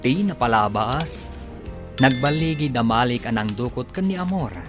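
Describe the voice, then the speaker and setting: A man narrates a radio drama as its announcer, reading from a script. His medium-pitched voice moves expressively up and down. Music plays clearly behind him. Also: expressive, normal speed.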